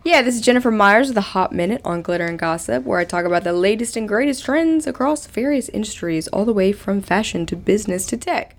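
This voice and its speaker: A woman's voice: mid-range voice, expressive, brisk, few pauses. A woman in her thirties, a radio co-host, telling stories in a chatty, rambling way with fillers. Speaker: radio co-host, trends segment. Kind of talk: casual chat, then segment presenting.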